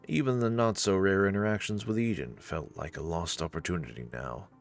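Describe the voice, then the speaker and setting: A woman's voice: a bass voice, fairly quick, creaky, soft background music. A woman narrating a visual novel in a scripted storytelling style.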